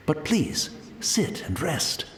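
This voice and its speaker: An actor playing an old blind tea master in a video game, speaking in a courtly, humble, wistful and rambling way. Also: male voice, a little high, wildly swooping pitch, slow, reverberant, slight background noise, exaggerated voice. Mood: humble, courteous. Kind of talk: video game character acting.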